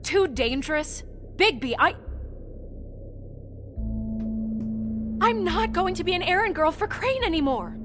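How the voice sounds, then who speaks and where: A woman's voice: extremely high pitch, sing-song, moderate pace, heavy background noise. A woman playing a poised, determined fairytale office administrator who is defiant yet caring, in naturalistic game acting. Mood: indignant.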